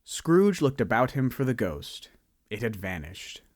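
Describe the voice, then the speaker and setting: Expressive male storyteller, dry sound. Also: mid-range voice, measured pace, no echo. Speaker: narrator. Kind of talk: scripted narration.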